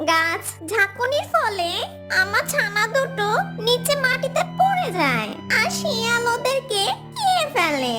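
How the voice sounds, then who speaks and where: A woman voicing a small, gentle, sorrowful mother bird in a cartoon, plaintive and imploring. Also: extremely high pitch, full character voice. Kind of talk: cartoon character dialogue. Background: music.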